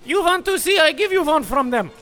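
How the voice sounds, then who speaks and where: A man doing a bright, stubborn clerk. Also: very high voice, a little fast, faint music behind, heavily acted. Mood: deadpan. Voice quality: broken English, deadpan. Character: stubborn deadpan male Russian hotel clerk. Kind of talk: comedy audio sketch.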